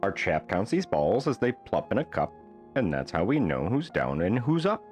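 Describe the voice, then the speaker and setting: A man reading a rhyming children's bedtime book aloud as an expressive storyteller. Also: lowish voice, normal speed, soft background music.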